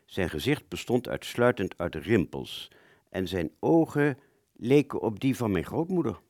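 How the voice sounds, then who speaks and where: A man acts out a wartime fighter pilot remembering his missions in a radio drama. The voice is low and swings expressively as he searches his memory. It sounds very dry and close. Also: lively intonation, measured pace, darkish tone, no echo. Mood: reflective. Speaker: storytelling narrator of a radio play. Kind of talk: reflective first-person radio drama narration.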